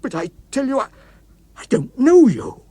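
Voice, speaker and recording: A man's voice: very high voice, huge pitch swings, moderate pace, warm tone, slightly rough, no echo, voice pushed up, full character voice. A man voicing a nervous, polite and fearful writer, anxious to please, in a dramatic audiobook. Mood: frightened. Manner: anxious, hesitant, eager to please.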